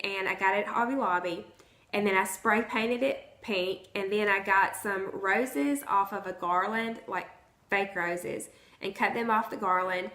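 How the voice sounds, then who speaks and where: A hoarse woman talks casually to her viewers, her voice bright and forward. She sounds a little rough from the flu. The room around her rings with a noticeable echo. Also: medium pitch. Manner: chatty, conversational. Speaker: vlogger. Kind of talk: casual chat to camera. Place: at home, indoors. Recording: roomy echo.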